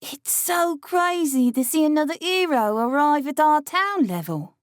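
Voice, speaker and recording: A man's voice: extremely high pitch, expressive, unhurried, dry recording, clean studio sound, voice pushed up. A male narrator voicing a cheerful, blunt young woman training as a guard, with a chatty, folksy way of talking.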